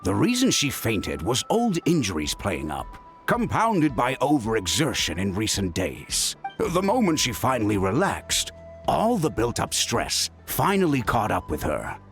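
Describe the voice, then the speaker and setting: A man's voice: mid-range voice, measured pace, faint music behind, full character voice. An actor playing a caring, middle-aged father and healer in a video game, chiding and chatting in an exasperated way. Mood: exasperated, scolding. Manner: animated, chiding, conversational.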